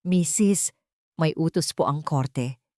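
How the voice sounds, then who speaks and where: A woman's voice: deep voice, slow pace, darkish tone, no echo. Female narrator as an unyielding male sheriff, firm official delivery, audiobook drama, dry recording. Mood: stern. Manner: firm, formal.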